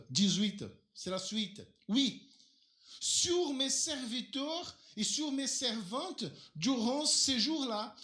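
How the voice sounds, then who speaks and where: A male pastor preaching a sermon in a church, a native Portuguese speaker who asks rhetorical questions and leaps up and down in pitch as he drives his points home. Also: a bit bright. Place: church hall. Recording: echoey church hall.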